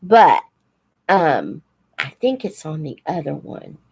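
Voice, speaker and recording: An American woman in her seventies shares memories in an informal, reflective way. Her voice sits slightly low and she speaks slowly. She often pauses partway through a thought and tells things as a personal story. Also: big pitch swings, gravelly, a little mellow, slightly rough. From United States. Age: 70s. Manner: halting, reflective, pauses mid-sentence, acts out quoted dialogue. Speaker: host of a live Q&A stream, teacher figure.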